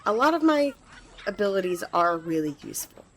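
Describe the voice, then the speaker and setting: A woman's voice: medium pitch, big pitch swings, fairly quick, close to an everyday voice. Earnest, chatty squire voiced by a woman, noisy ambience. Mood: friendly, reassuring. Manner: hesitant, rambling, earnest, reassuring. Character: eager, earnest, nervously chatty young squire with magic. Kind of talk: ASMR roleplay addressed to the listener.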